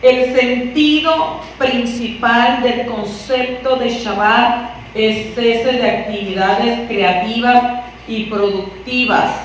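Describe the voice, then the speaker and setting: A woman from Puerto Rico with a Puerto Rican accent, preaching a conversational sermon and asking her listeners rhetorical questions. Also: fairly high pitch. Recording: echoey room from far off, faint noise.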